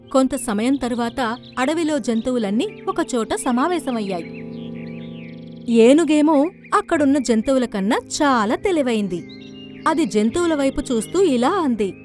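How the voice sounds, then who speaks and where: A woman's voice: high voice, a little mellow. A woman reading scripted narration for a children's story.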